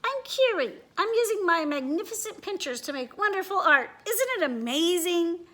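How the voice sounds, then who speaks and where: A woman's voice: very high-pitched, animated, moderate pace, bright, forceful tone, pitched above the performer's own voice, full character voice. A woman voicing a proud, kind beetle artist in a children's storybook, exclaiming with confidence. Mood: proud, excited. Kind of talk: children's storybook read-aloud.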